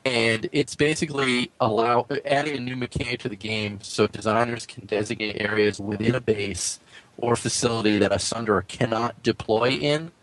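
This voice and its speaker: A man's voice: medium pitch, fairly even intonation, moderate pace, a bit bright, poor audio. A man co-hosting a gaming podcast, running through news items in a casual, conversational way. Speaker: podcast co-host. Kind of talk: casual news rundown and discussion.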